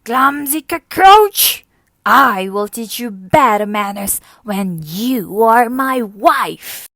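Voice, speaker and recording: A vain storybook rooster, acted out by a woman, proclaims himself in a big, showy voice. He is pompous and boastful, drawing words out slowly, and the sound is dry. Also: on the high side, expressive, pushed and bright, no echo, full character voice. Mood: furious. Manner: crooning, boastful, exclaiming. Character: vain, pompous rooster suitor, male, quick to fury.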